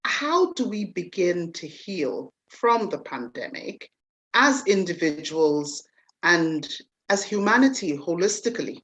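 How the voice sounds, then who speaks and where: A woman's voice: fairly low pitch, sing-song, slow, a bit bright. Chatty woman host from the UK. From United Kingdom. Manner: chatty, with many fillers. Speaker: talk show host. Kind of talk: interview conversation.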